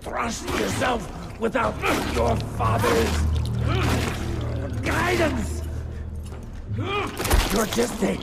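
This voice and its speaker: A man playing a taunting, theatrical male vampire villain in a video game, with loud music over him. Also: very high-pitched, very animated, creaky, heavily acted. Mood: mocking, menacing. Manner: mocking, drawn-out, theatrical delivery.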